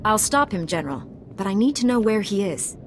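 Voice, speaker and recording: Actress as resolute female Jedi Knight, game cutscene, lower register, composed and firm. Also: sing-song, a little fast, slight background noise, close to an everyday voice. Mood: calm, resolute. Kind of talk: video game cutscene acting.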